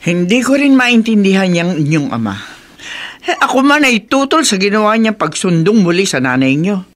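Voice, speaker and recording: A woman's voice: mid-range voice, big pitch swings, normal speed, close to an everyday voice. A Filipino actress playing a disapproving, protective elderly grandmother in a radio drama, speaking emphatically.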